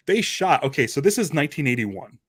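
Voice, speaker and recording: A man's voice: a little high, very animated, brisk, breathy edge. A man chatting informally as a podcast panelist, talking in a loose, conversational way. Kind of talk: casual chat about a radio drama.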